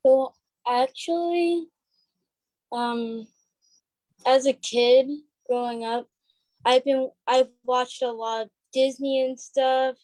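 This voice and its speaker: A young female guest with a high, mellow voice and a dry, echo-free sound. She answers casually and a little tentatively, letting thoughts trail off. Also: steady tone, slow pace, pauses often. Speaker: stage actress, interview guest. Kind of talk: casual chat.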